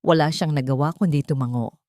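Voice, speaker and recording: Lower-pitched woman narrating dramatic fiction. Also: fairly quick, darkish tone, no room sound, clean studio sound. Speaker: audio story narrator. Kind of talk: dramatic scripted story narration.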